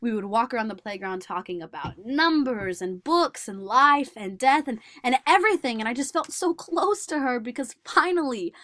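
A woman playing a shy, socially awkward male savant in a dramatic monologue, halting and repeating words. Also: fairly high pitch, fairly bright tone, no room sound. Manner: halting, repeating words, swinging from whispers to nervous outbursts. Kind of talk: dramatic monologue for a speech competition.

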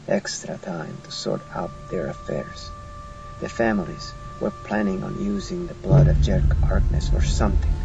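A man voicing a weary, wistful old grandfather who tells his past slowly and reflectively in an audiobook drama. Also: fairly low pitch, gravelly, recorded from a distance, full character voice. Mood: grave. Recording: muffled recording with music behind.

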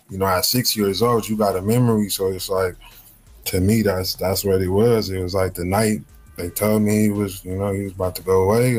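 Podcast guest, man in his 30s, very deep voice, easygoing street slang, webcam call audio. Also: darkish tone, poor audio. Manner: laid-back slang-heavy storytelling. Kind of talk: casual interview.

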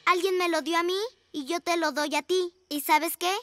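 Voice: very high-pitched, fairly even intonation, moderate pace, background noise. A performer voicing an earnest, kind young girl owl superhero speaking sincerely in a children's cartoon. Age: child character of six. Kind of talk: animated children's cartoon dub. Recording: loud music behind the voice. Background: loud cartoon score.

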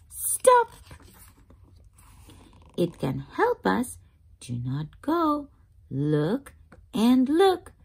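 A woman reading a picture book aloud to young children, expressively, pausing to ask simple questions like a teacher. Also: on the high side, vocal fry, a little mellow, slightly rough. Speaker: host of children's read-aloud channel.

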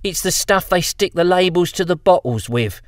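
A man's voice: high voice, lively intonation, normal speed, no room sound, studio quality, voice pushed up. A man voicing a grubby, cheeky young lamplighter boy with a chirpy, slangy way of talking.

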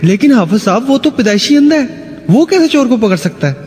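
A man's voice: very high voice, sing-song, fairly quick, a little mellow. A man with a Pakistani accent playing a mock-grand master of ceremonies in a scripted satirical comedy show. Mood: mock-formal. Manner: mock-grand, formal, storytelling. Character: mock-formal male master of ceremonies introducing politicians. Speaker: show host. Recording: hall reverb with music.

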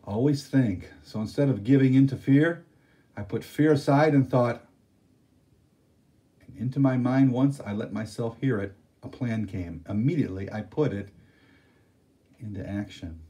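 A man's voice: mid-range voice, measured pace, pauses often, lightly acted. A man voicing a dramatic older male storyteller who teases his listeners. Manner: animated, teasing.

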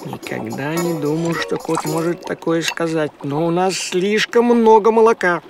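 A man voicing a thrifty, fussy talking farm cat in a cartoon, excitable and given to grumbling. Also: fairly high pitch, normal speed, gravelly. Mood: worried, grumbling. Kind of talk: animated cartoon acting. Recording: music behind the voice.

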